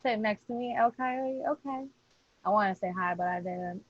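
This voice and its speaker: A woman's voice: medium pitch, moderate pace, no echo, lo-fi sound. A woman chatting casually with slangy phrasing and some easy swearing. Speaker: podcast cast member. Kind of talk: casual chat.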